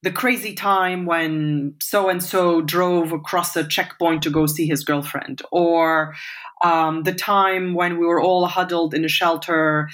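Woman, forties, from Lebanon, interview guest, slightly low voice, measured, reflective, fillers and restarts. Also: steady tone, fairly bright tone. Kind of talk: thoughtful remote interview answers.

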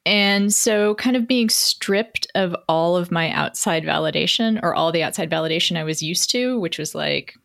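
Lower-voiced middle-aged woman, candid and reflective. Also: normal speed, vocal fry, few pauses, a bit bright. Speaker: interview guest sharing a recovery story. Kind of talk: candid conversational personal storytelling.

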